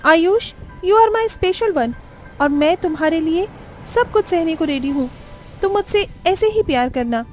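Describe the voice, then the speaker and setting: Woman voicing a high-pitched spoiled young rich bride. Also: animated, measured pace, slight background noise. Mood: devoted. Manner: mixes English exclamations into complaints.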